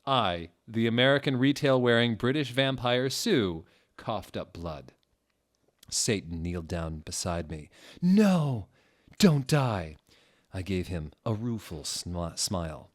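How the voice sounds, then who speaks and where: A man with a low voice reads a story aloud in a mock-dramatic style. He swings between dry, deadpan delivery and sudden theatrical outbursts. The reading sounds staged on purpose. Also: measured pace. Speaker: dramatic reader.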